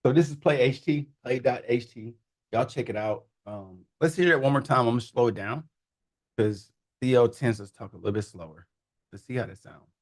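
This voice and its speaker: A man in a casual conversation, demonstrating software in an animated, amused way and laughing often. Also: medium pitch, moderate pace. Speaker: guest, tech founder. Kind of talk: casual demo talk.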